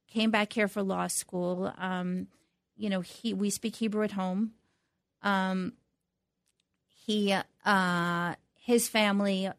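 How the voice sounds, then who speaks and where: A middle-aged woman speaking as an interview guest, reflective and halting, often restarting her sentences. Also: medium pitch, no echo, clean studio sound. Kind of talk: conversational interview.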